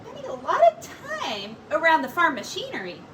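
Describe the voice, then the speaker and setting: A woman with a high-pitched voice reads a children's story aloud like a teacher. Her delivery is animated, with dramatic pauses. She sounds some distance from the mic, with a faint noise behind her. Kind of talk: expressive picture book read-aloud.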